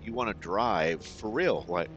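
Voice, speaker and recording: A man's voice: fairly low pitch, lively intonation, unhurried, lo-fi sound, over music. A man giving casual commentary to viewers while driving a racing sim at home, sometimes mumbling and trailing off as he adjusts settings.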